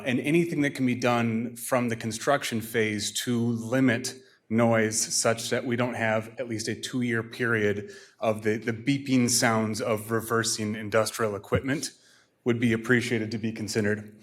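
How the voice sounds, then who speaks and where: Man, medium pitch, measured, formal, polite, public comment, podium mic. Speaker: resident neighbor giving public comment. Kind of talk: public comment at a city meeting. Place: city council chamber.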